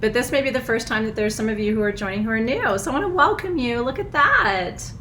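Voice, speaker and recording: Hoarse, bubbly woman. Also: a little high, expressive, quick pace, a bit bright, slight background noise. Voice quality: froggy, husky. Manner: bubbly, effusive, laughs often. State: hoarse, nearly lost voice. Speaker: spiritual teacher hosting a live full moon ritual. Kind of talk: casual livestream chat. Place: small house, indoors.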